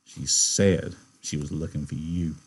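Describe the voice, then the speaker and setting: A man's voice: medium pitch, brisk, close to an everyday voice. Man as a curt, wary ranch worker. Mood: cold, curt. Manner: curt, impatient, colloquial. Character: wary, gruff, protective male itinerant ranch worker.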